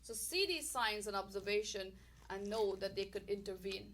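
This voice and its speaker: A woman's voice: mid-range voice, moderate pace, a bit bright, slight background noise. Upbeat woman greeting viewers, Caribbean accent. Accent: Caribbean English. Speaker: talk show host. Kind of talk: casual livestream health talk.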